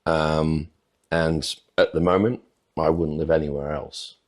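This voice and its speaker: A man's voice: a bass voice, little pitch movement, measured pace. A man in his forties from North Wales, talking unhurriedly and a little self-deprecatingly as a podcast guest. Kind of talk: casual interview chat.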